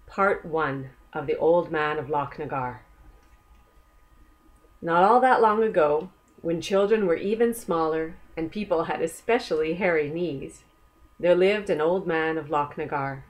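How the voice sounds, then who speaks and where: A woman reads a children's story aloud at a very unhurried pace. Her voice sits slightly low, and her manner is gentle and inviting, as a storyteller speaking directly to young listeners. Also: low-quality recording.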